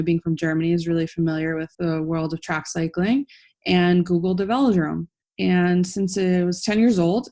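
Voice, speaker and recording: A woman's voice: on the low side, dark tone. A young woman from Florida, a professional cyclist, answering interview questions in a chatty, upbeat way. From Florida, United States. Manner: chatty, upbeat, with quoted self-talk. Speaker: guest, professional track cyclist.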